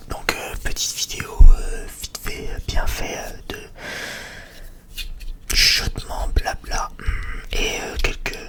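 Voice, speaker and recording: A man whispering breathily in a casual, unscripted ASMR chat, rambling and hesitating as he talks. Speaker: ASMR video creator.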